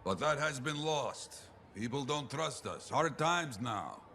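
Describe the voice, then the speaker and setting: A man's voice: mid-range voice, lively intonation, slow pace, sharp tone, a little hiss or hum, exaggerated voice. A man playing a weathered older retired freelancer in a video game, speaking in clipped phrases with frequent check questions. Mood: weary, wry. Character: gruff, weathered old retired freelancer mentor, male.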